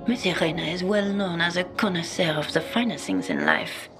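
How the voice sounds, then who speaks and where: A haughty secretary. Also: female voice, on the low side, wildly swooping pitch, normal speed, music in the background. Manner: prim, haughty. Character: haughty female secretary, prim gatekeeper.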